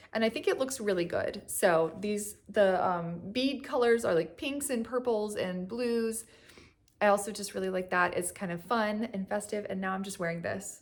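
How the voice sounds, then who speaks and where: A young woman, slightly hoarse from a cough, chatting casually at home about her knitting, rambling with lots of fillers and little laughs. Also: mid-range voice. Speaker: knitting vlogger. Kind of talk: casual chat. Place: at home on a couch.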